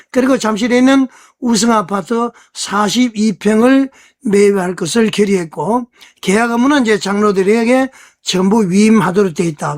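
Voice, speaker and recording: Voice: medium pitch, a little mellow. An online church news commentator speaks in a mid-range voice. The delivery is conversational and explanatory, walking viewers through the details and often turning to them with rhetorical questions.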